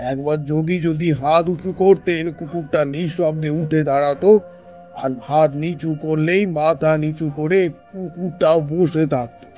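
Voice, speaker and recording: An actor playing a grave elder brother who recounts an ominous village tale with deliberate, dramatic pauses in a horror audio drama. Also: male voice, a little high, darkish tone, natural voice. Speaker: storyteller character.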